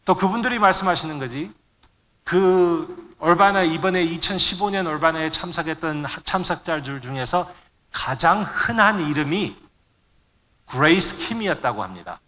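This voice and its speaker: A man's voice: a little high, lively intonation, normal speed, pushed and bright. A middle-aged man giving a sermon to a congregation, searching for words now and then and mixing in English terms. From Korean immigrant family in the United States. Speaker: preacher. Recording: long hall reverb.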